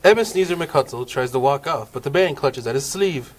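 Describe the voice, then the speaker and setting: A man's voice: medium pitch, lively intonation, a little fast, background noise. A man narrating a comic table read of a Christmas play, wry in tone. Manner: wry, laughs at the cast.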